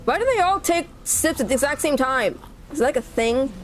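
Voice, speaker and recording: A woman's voice: high voice, animated, brisk, faint music behind. A woman giving casual, chatty reaction commentary to game cutscenes, often exclaiming and repeating herself. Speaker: gaming reactor.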